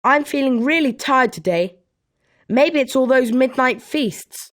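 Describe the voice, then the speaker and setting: A nosy British schoolboy wizard, played by a woman, talks in a somewhat high young voice. The lines are pointed, short video game remarks. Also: animated, unhurried. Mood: casual.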